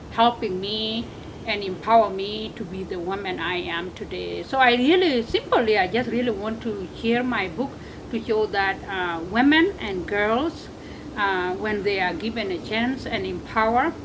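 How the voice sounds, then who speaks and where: South sudanese woman in her 30s telling her story earnestly, interview style, noisy background. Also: mid-range voice. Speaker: interview guest, author. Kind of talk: earnest personal account in an interview. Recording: clear background noise.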